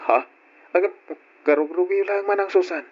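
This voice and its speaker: An actor playing a devoted, patient grown son in a radio drama, speaking earnestly and sentimentally. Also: male voice, high voice, fairly even intonation, normal speed. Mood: concerned, soothing. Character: devoted, patient, self-sacrificing adult son caring for mother. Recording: dull lo-fi radio drama recording. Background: guitar music.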